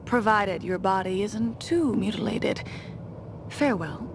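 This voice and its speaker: A haughty, menacing mad scientist villainess from a video game. She speaks in a theatrical, condescending way at a middling pitch, with noticeable noise in the recording. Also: expressive, a little slow. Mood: smug, menacing. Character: haughty, sadistic female mad scientist villain who creates monsters.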